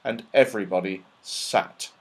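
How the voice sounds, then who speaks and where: A man reading a children's story aloud as scripted narration, in an expressive, dramatic storytelling manner. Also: lowish voice, measured pace, vocal fry. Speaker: audiobook reader.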